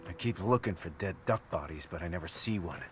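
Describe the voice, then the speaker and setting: Deep, wry mutant stalker. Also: male voice, expressive, fairly quick, background noise. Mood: quizzical. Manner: wry, quizzical. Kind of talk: video game character acting.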